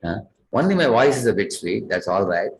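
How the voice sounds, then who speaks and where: A man's voice: fairly low pitch, sing-song, brisk, slight background noise. A modest, reassuring cuckoo, told by a man. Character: modest sweet-voiced cuckoo, quietly unhappy.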